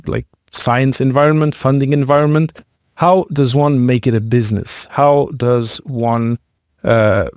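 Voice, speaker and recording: A male podcast host asks long, winding questions, rephrasing himself and filling gaps with fillers as he searches for the right words. His voice is mid-pitched and sounds close and dry, with no echo around it. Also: a little mellow. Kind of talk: conversational interview questions.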